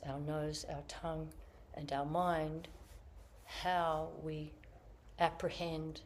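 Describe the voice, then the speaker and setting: A woman Zen teacher giving a dharma talk in slow, reflective, searching phrases, her voice a little croaky after being ill. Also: low voice, darkish tone, a little hiss or hum. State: slightly croaky from illness. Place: meditation hall with online audience.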